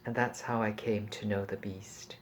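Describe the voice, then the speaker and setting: A man reading a passage from a novel aloud in a measured, deliberate and expressive storytelling manner. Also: lowish voice, slight background noise.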